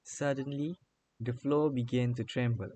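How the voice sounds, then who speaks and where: A man's voice: medium pitch, fairly even intonation, a little slow, noisy background. A man narrating a scripted fairy tale for a radio drama.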